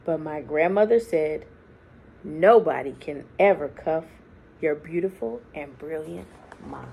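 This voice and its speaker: A woman reading a children's story aloud expressively, with deliberate dramatic phrasing and hushed, drawn-out words. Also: low voice, gravelly, husky. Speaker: children's book reader. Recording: faint background noise.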